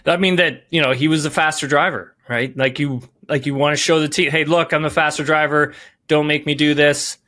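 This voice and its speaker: Guy breaking down motorsport on a podcast, highish voice, really bright and forceful, hammers his points. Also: steady tone, brisk. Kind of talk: sports podcast commentary.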